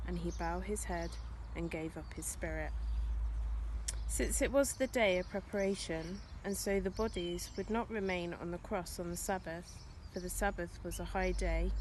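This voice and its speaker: Woman reading scripture, faint outdoor noise. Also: mid-range voice. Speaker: scripture reader.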